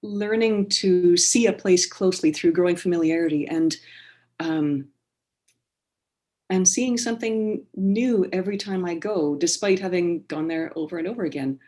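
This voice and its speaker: A Canadian woman poet talking over a video call, measured and thoughtful, with many pauses and restarts. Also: lowish voice, dark tone. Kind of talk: online book launch conversation and poetry reading.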